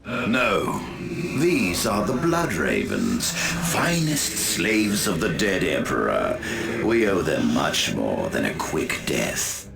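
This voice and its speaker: An actor playing a contemptuous, pompous evil warlord in a video game, speaking in measured, menacing phrases. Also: male voice, deep voice, wildly swooping pitch, gravelly, over loud music, heavily acted. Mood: cold command. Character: lordly, contemptuous, patient evil Chaos warlord commander. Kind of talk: theatrical video game villain acting.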